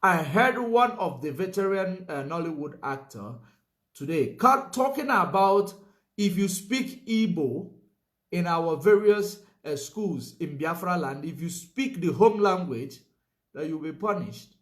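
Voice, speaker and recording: A man's voice: high voice, lively intonation, a little slow, fairly bright tone, roomy sound. A man giving a political commentary monologue, emphatic, repeating his points and filling gaps with "you know".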